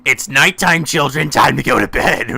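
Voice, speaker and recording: A man's voice: mid-range voice, unhurried, creaky, pushed and bright, slightly rough, heavily acted. A man voicing a mockingly cheerful, sadistic mascot bear from a video game, theatrical and taunting. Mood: mocking, menacing. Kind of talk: video game character voice-over.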